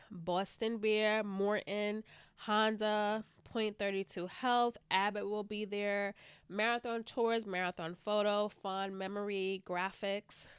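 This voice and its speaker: A woman's voice: mid-range voice, clear, resonant tone, no echo, clean studio sound. A woman hosting her own podcast, talking in a chatty, rambling way with a slightly stuffy, congested voice. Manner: chatty, rambling, lots of "like" and "you know". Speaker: podcast host. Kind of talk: casual solo podcast monologue.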